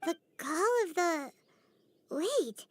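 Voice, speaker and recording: A woman's voice: very high-pitched, big pitch swings, very slow, dry recording, heavily acted. A woman voicing a small, childlike fairy companion in a video game, exclaiming and questioning dramatically. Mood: puzzled, exasperated.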